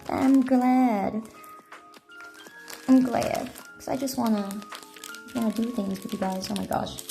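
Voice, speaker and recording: Creaky-voiced woman chatting casually on stream. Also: dark tone. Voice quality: self-described deeper speaking voice. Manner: rambling, hedging, conversational. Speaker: livestreamer. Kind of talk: casual livestream chat while eating snacks. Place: echoey indoor room at night. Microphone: phone microphone. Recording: echoey room, phone microphone, faint music.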